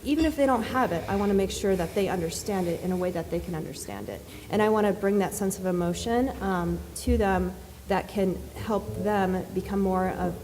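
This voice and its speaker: A soft-spoken woman on a panel, answering at length with many fillers and restarts. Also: mid-range voice, lively intonation, fairly quick, hardly any pauses, a little hiss or hum. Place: conference hall. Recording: distant reverberant hall with faint ambience.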